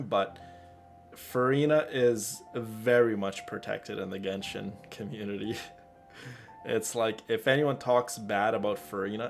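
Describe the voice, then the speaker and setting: A man doing casual, rambling and emphatic live commentary as he plays a game, easily amused. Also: mid-range voice. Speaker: game streamer.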